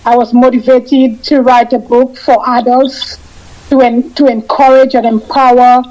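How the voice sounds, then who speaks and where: High-voiced woman with a mellow tone. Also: level tone, low-quality recording. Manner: halting, repeats and restarts phrases. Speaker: guest. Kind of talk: casual radio interview chat.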